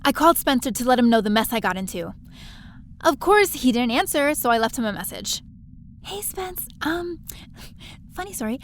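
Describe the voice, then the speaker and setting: Woman acting plucky adventurer heroine, high voice, wry, breezy, audio drama narration. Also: animated, moderate pace, slight background noise. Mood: hushed, sheepish. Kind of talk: first-person scripted narration, audio drama.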